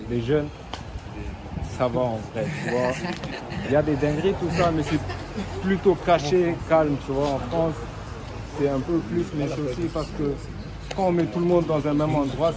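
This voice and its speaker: A man's voice: medium pitch, poor audio. A young man, a rapper raised in Germany, chatting casually outdoors on city streets, laid-back and slangy with constant filler tags. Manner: relaxed slangy talk with frequent tags. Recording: loud street noise with traffic.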